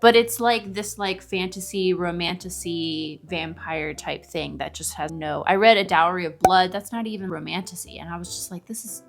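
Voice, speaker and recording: A woman's voice: lowish voice, moderate pace, creaky, hardly any pauses, faint music behind. A woman co-hosting a book show, chatting informally and bluntly with a friend as she confesses her opinions. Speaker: co-host of a book discussion show. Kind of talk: casual confessional chat with a friend.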